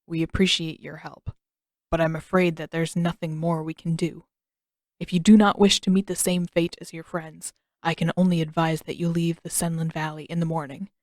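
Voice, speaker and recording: A woman's voice: low voice, level tone, moderate pace, darkish tone, no echo, voice pushed down, natural voice. A woman voicing a grim, weary male village leader who speaks in a solemn, resigned manner in a story. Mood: solemn, regretful.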